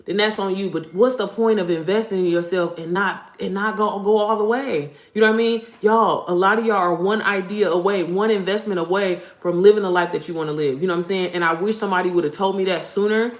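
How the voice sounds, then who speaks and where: Fast-talking, deep-voiced woman coaching online. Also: fairly even intonation, echoey room. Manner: blunt, profane, slangy, addresses viewers directly. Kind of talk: casual motivational vlog talk.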